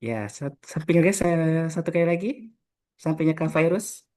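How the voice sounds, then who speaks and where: A young man from Jakarta hosting a casual group podcast, friendly and relaxed, often pausing on "eh". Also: on the high side. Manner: friendly, relaxed, frequent filler "eh". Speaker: podcast host.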